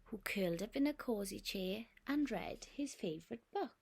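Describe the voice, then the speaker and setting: A nursery teacher reading a picture book aloud to young children in a gentle, expressive way. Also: female voice, medium pitch, normal speed, vocal fry, noisy background.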